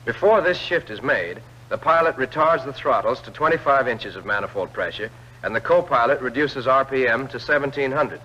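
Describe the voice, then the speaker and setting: A man narrates an old military training film in a bright, forceful voice of medium pitch. He gives clear, direct instructions in a scripted 1940s instructional style. The sound is a dull, lo-fi vintage film soundtrack. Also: lively intonation, fairly quick.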